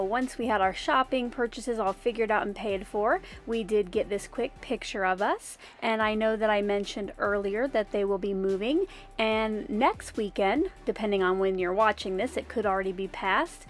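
A woman in her forties, a craft vlogger, chatting in a friendly, upbeat way into a phone held at arm's length. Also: medium pitch. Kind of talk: casual vlog chat and voice-over narration. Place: inside a car and outdoors at a rest area.

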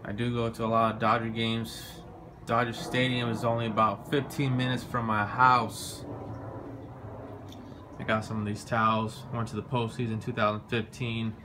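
A man's voice: fairly low pitch, noisy background. A man, giving a laid-back, boastful tour of his bedroom, filmed on a handheld phone. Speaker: vlogger giving a room tour. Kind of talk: casual filmed room tour. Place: small bedroom at home, indoors. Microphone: handheld phone camera.

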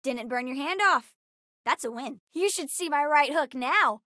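A woman voicing a bright, bookish, chatty young girl with an American accent, as a video game character. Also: high voice, unhurried, dry recording, studio quality, heavily acted. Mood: chatty, curious. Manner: chatty, expressive, youthful.

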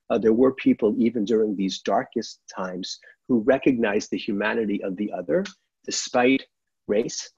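A middle-aged man, a rabbi, giving a measured, earnest sermon from a sunlit room at home over a laptop webcam. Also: fairly low pitch, gravelly, darkish tone, slightly rough, no echo. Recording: dull, dry webcam audio.

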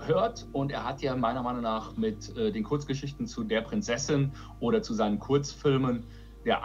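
A man's voice: fairly low pitch, dull audio. A man in his forties presenting to camera, enthusiastic, wordy and emphatic. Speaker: audio drama producer presenting a release.

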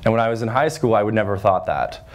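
A man in his twenties from Northern Ontario with a loud voice, giving an earnest talk to a high school class with lots of fillers and restarts. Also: animated, fast talker. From Northern Ontario, Canada. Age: 20s. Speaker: guest speaker. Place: high school classroom with chalkboards, audience seated.

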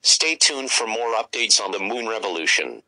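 A man's voice: fairly low pitch, expressive, normal speed, bright, forceful tone, a little hiss or hum, low-quality recording. A man delivering scripted, ominous emergency broadcast announcements in the role of an alert announcer.

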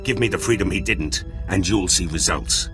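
An actor playing a stubborn, confident demolitions soldier in a video game, talking in curt, blunt phrases. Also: male voice, low voice, normal speed, over music, natural voice. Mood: defiant, dry. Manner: curt, gruff-mannered, blunt.

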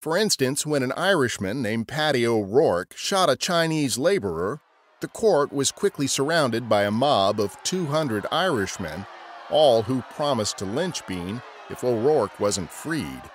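A man's voice: medium pitch, lively intonation, measured pace, a little hiss or hum. A man narrating a scripted history story as a podcast storyteller.

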